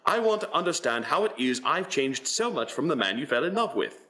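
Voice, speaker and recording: A man's voice: a little high, sing-song, a little fast, pushed and bright. A man playing a young, pleading, defensive suitor in a radio play, with formal period phrasing. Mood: hurt, earnest.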